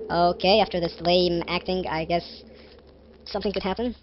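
A man's voice: high voice, steady tone, normal speed, dull audio, slight background noise. A young man from Egypt with an Egyptian accent, reading a text game aloud and reacting with laughs and chatty asides, recorded on a headset in a bedroom. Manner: chatty, laughs at himself, reads narration with dramatic flair. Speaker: gaming video host.

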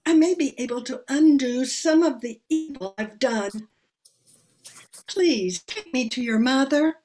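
A woman's voice: high voice, unhurried, a little mellow, soft background music. An older woman playing a meddling, proper old aunt with an earnest, moralizing manner in an amateur radio play. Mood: earnest, pleading. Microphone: computer on video call.

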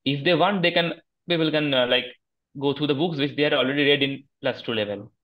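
A man in his twenties with an Indian accent giving halting, deferential answers in a conversational interview over a headset on a video call. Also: on the high side, fairly bright tone, rough recording. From Kolkata, India. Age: 20s. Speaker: interview guest, successful exam candidate. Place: small room at home, video call.

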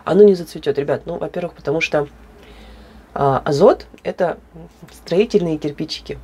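A woman with a hoarse voice, chatting casually and animatedly in a hobby plant vlog, with laughs and fillers. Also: low-pitched, normal speed, dark tone. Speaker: orchid grower.

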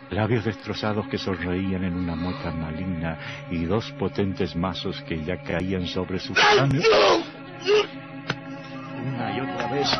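An actor playing a sinister storyteller, narrating radio horror with theatrical, morbid relish. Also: male voice, lowish voice, natural voice. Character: sinister male horror storyteller, gleefully macabre doctor. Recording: dull old radio recording with music.

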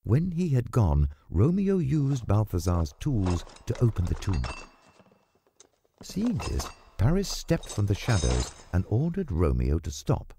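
A man's voice: fairly low pitch, wildly swooping pitch, measured pace, vocal fry, noisy background. A man narrating a scripted story in a dramatic storytelling style. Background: music.

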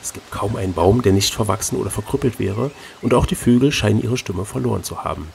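A man reading an adventure gamebook aloud as host, switching between expressive narration and casual chat. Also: fairly low pitch, quick pace, creaky, slight background noise.

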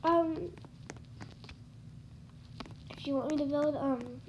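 A young woman talks through her game build in a high, stuffy voice, congested from allergies. She takes her time, trailing off with ums and likes. Steady noise hums under her voice. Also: slow, dull audio. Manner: chatty, hesitant, lots of ums and likes. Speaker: gaming YouTuber. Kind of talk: casual game-build tour voice-over.

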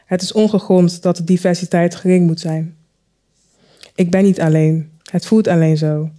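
A woman storyteller performing a spoken word piece, with deliberate, dramatic phrasing and rhetorical questions. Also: on the low side, warm tone. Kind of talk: spoken word recitation, poetic monologue.

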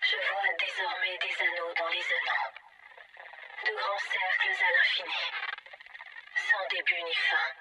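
An actress playing a melancholic, pensive AI guardian in a video game, speaking in a measured, questioning, philosophical way through a synthetic processed effect. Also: female voice, very high-pitched, sing-song, creaky, pushed and bright, a little hiss or hum, exaggerated voice. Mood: solemn, foreboding. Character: melancholic, pensive female AI guardian of an ancient alien installation. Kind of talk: scripted dramatic game monologue.

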